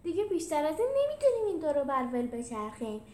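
A woman voicing a cheerful, dim male animal with an excitable, happy-go-lucky manner in a storybook reading. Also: high-pitched, pitched above the performer's own voice. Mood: excited, happy. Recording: roomy echo with some background noise.

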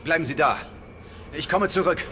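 A man's voice: on the high side, moderate pace, sharp tone, slight background noise. A man playing a wary, helpful young policeman in a horror audio drama. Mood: concerned.

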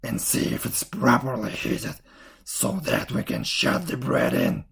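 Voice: mid-range voice, sing-song, unhurried, vocal fry, husky, voice pushed down, heavily acted. A storyteller playing a wicked, very old witch in a fairy tale, with a commanding, mocking manner. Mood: scolding, bossy. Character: wicked old witch, feigning kindness, cruel, bossy.

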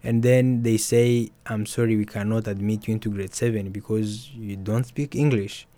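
A young man, an author and musician, telling his story softly and hesitantly in an interview. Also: on the low side, fairly even intonation, moderate pace. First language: Swahili. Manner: soft-spoken, hesitant with restarts and fillers. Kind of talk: reflective personal storytelling.

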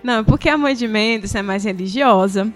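A woman's voice: mid-range voice, moderate pace, soft background music. A woman with a Brazilian accent talking informally and expressively as a podcast host in a group chat. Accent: Brazilian Portuguese.

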